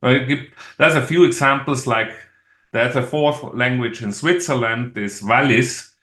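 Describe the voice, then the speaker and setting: A middle-aged man with an Austrian accent, a native German speaker, talking as an interview guest in a halting, explanatory way. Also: medium pitch, bright, forceful tone. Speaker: podcast guest, engineer. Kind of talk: conversational interview. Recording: roomy, echoey sound.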